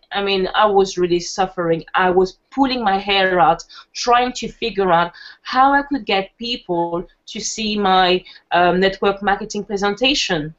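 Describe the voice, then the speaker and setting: A woman's voice: mid-range voice, fairly bright tone. A woman with a French accent giving a casual online presentation, chatty with lots of fillers and restarts. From France. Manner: chatty, laughs easily, many fillers and restarts. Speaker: webinar presenter. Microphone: video call. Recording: muffled online call audio.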